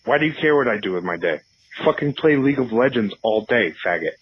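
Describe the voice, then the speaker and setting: A man's voice: medium pitch, expressive, fast, husky, no echo. A man playing a cocky, crude, argumentative atheist caller on a comedy radio show, sneering and dismissive. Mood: irritated sneering. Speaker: phone-in caller. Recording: slightly dull phone-in sound.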